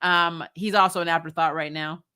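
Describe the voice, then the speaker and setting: A woman with a fairly low voice that has a bright, forceful edge. She talks like a livestream host in a casual fan chat, informal and blunt, with swearing mixed in. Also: steady tone, a little fast, clear, resonant tone. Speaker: host of a fan recap show.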